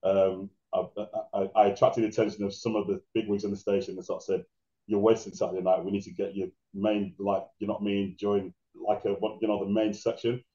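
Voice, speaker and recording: A man with a deep voice telling stories about his DJ career in a casual interview, rambling with many restarts. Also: little pitch movement, a little fast, mellow. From England. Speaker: guest DJ. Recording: roomy, dull lo-fi sound.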